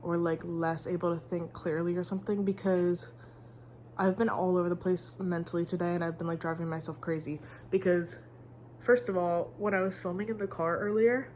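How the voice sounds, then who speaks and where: A woman's voice: on the low side, fairly even intonation, normal speed, darkish tone, slight background noise. A young woman vlogging in casual, rambling chat, sniffly and full of "like". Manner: rambling, chatty, heavy use of "like".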